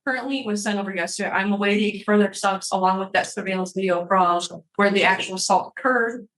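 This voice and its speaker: A woman's voice: mid-range voice, fast, fairly bright tone, low-quality recording. A woman addressing a court as a prosecutor. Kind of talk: courtroom argument.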